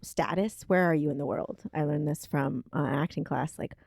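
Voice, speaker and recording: Low, gravelly woman co-host. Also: brisk, darkish tone, no echo, studio quality. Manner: thoughtful, searching, with many fillers. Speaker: podcast co-host. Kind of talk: casual chat. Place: home kitchen table.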